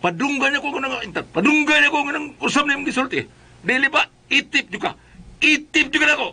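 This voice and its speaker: A man playing an excitable, henpecked Cebuano father in a comedy skit, exasperated and pleading. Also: very high-pitched, fairly quick, exaggerated voice. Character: henpecked, excitable Cebuano father pacifying his fierce wife. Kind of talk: comedic first-person storytelling and skit acting.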